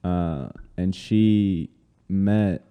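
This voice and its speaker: A man in his thirties from Colombia, a guest telling his life story in a relaxed way, with many fillers. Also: low voice, drawn-out words, clear, resonant tone, long reverb tail, phone-quality sound. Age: 30s. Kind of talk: casual interview conversation.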